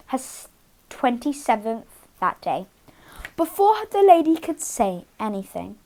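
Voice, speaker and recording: A woman hosting storytime, speaking to young children in an expressive read-aloud style with dramatic emphasis on key words. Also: on the high side. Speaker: children's storytime host and reader.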